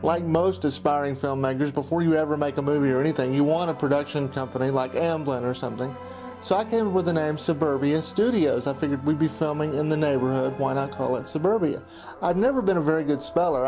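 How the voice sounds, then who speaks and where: Male narrator, higher-pitched, for a personal amateur-film retrospective, over clear background music. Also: dark tone. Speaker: documentary narrator, amateur filmmaker. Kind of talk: personal scripted narration, looking back.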